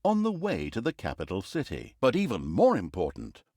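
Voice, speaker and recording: An actor playing a sardonic, theatrical narrator of a villainous fantasy game tale, with a mock-grandiose storybook delivery. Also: male voice, on the low side, wildly swooping pitch, moderate pace, darkish tone, no echo, studio quality, exaggerated voice. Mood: dry, mocking. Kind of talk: scripted comic storybook narration.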